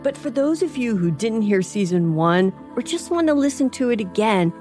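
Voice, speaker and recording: A woman host reading a podcast promo in an upbeat, promotional way. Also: mid-range voice. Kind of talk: scripted podcast ad read.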